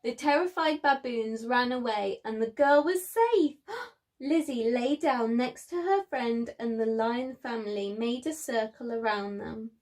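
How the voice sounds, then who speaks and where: A woman speaking to young children as a preschool storyteller, with expressive emphasis and simple phrasing. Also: a little high, measured pace.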